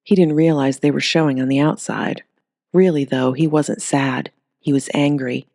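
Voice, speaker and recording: Low-voiced woman reading a story. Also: fairly even intonation, normal speed, dark tone, no echo, clean studio sound. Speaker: audiobook narrator. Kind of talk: scripted storybook narration.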